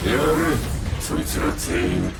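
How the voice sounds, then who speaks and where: A haughty dragonkin villain from an anime game, with a low voice that swings widely in scornful, pompous lines. He sounds set back from the mic beneath very loud music. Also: lively intonation, takes it slowly, gravelly, distant mic, exaggerated voice. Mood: contemptuous, commanding. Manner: pompous, sneering. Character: haughty, cruel dragonkin man who scorns humans. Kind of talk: Japanese anime game voice acting.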